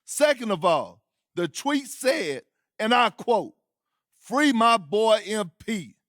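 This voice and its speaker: Theatrical male sports commentator, American, video game, high voice, unhurried pace, scornful, emphatic. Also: sing-song, fairly bright tone, no room sound, studio quality, exaggerated voice. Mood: mocking, outraged. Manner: mocking, emphatic, drawn-out.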